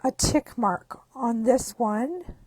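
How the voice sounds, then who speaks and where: A hoarse woman with a croaky voice, chatting upbeat through a card-making tutorial step by step. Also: fairly high pitch, animated, slow pace, darkish tone, no echo. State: hoarse, losing her voice. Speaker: craft demonstrator.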